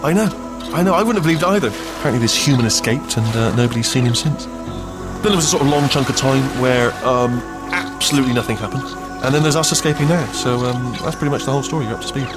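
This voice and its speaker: A man's voice: medium pitch, quick pace, loud music behind the voice, lightly acted. A British man playing a nervous, chatty, bumbling little robot sidekick in a comic video game, rambling and stammering anxiously. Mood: chatty unease. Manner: rambling, stammering, self-correcting, anxious babble.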